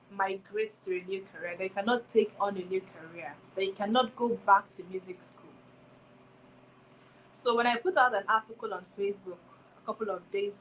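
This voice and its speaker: A woman's voice: mid-range voice, a bit bright, a little hiss or hum, low-quality recording. A woman in her twenties hosts a solo motivational talk, speaking reflectively and returning again and again to rhetorical questions. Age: 20s. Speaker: podcast host.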